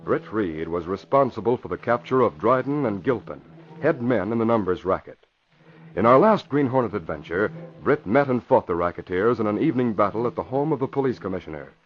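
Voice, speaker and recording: A man announcing and narrating a 1940s radio drama with a dramatic, emphatic delivery. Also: lowish voice. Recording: dull hissy old radio recording.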